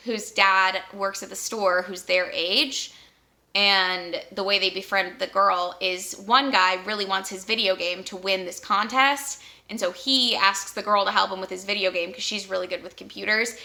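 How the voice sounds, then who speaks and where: A young adult woman chatting casually about books as a vlogger, upbeat and rambling, with easy laughs and quick asides. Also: mid-range voice, creaky, bright, forceful tone. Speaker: book vlogger.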